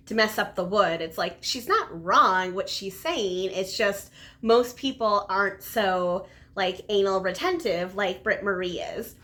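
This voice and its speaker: A woman's voice: mid-range voice, a bit bright. A woman talking casually to viewers as a book reviewer, conversational and emphatic on key words. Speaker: book reviewer, video host. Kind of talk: casual book chat to camera.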